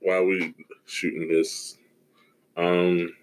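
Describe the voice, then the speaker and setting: A young adult man hosting a casual podcast in a relaxed, teasing way. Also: sits low, slow pace. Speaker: podcast host. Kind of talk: casual chat.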